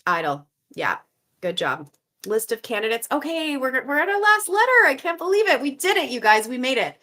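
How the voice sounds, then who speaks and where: Bright-voiced, chatty Canadian woman host. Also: high-pitched, big pitch swings, normal speed. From Canada (Montreal). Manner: upbeat, chatty, thinks out loud. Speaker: host of a live crossword stream. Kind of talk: casual live-stream chat, reading viewer guesses aloud.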